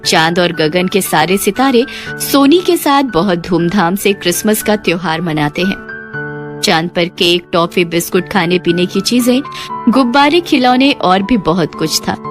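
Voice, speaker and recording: A woman's voice: on the low side, lively intonation, a little slow. Woman narrating a children's story over music.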